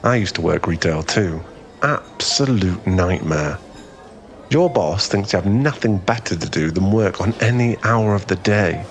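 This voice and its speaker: A man's voice: deep voice, lively intonation, unhurried. A man playing a friendly, easily flustered young guy in a conversational ASMR boyfriend roleplay, with a hesitant, rambling, self-effacing way of talking. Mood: thoughtful, kind, then exasperated.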